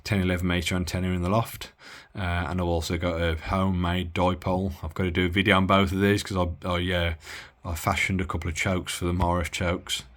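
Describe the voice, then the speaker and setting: A man from the Midlands demoing a radio at his bench in a casual, halting way with lots of fillers. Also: sits low, level tone, a bit bright. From Midlands of England. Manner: halting, many fillers and restarts. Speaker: radio hobby vlogger. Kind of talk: casual hands-on demo.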